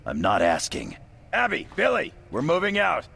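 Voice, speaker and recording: Gravelly deep military boss in a game, blunt orders, noisy background. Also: male voice, wildly swooping pitch, normal speed, sharp tone, exaggerated voice. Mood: urgent, commanding. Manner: terse, blunt, commanding. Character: gruff, commanding male special forces squad leader. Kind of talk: video game cutscene acting.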